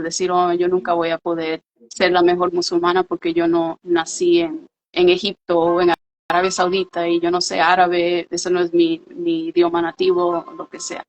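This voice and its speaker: A woman's voice: fairly low pitch, poor audio. A woman co-hosting a casual live-stream chat, explaining in an earnest way with some fillers, over a video call. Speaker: co-host of a live talk show.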